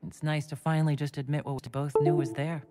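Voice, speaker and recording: An actress playing a composed, gently wry female warrior hero in a fantasy video game, speaking in a measured, plain way. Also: deep voice, level tone, very noisy, lightly acted. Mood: warm, relieved.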